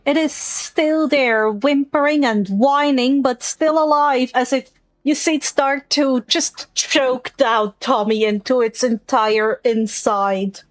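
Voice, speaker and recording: High-voiced, unhurried woman game master. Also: steady tone, no room sound. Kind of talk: improvised tabletop game narration.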